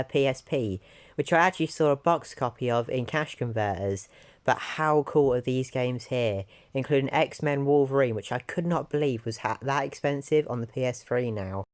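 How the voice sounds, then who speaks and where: Croaky man, mid-range pitch, casual and upbeat vlog narrator with a sore throat. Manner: chatty and enthusiastic, repeats "really, really". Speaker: retro game collector vlogger.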